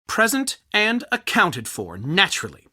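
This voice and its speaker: A man's voice: high-pitched, wildly swooping pitch, a little slow, sharp tone, breathy edge, no echo, studio quality, heavily acted. An actor playing a wry, self-pitying male researcher in a video game, speaking in a plaintive, theatrical manner. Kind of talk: video game character dialogue.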